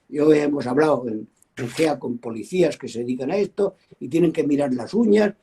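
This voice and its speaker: A man's voice: fairly high pitch, dark tone, breathy edge. A man giving an earnest, explanatory conference talk with some false starts. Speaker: conference panelist.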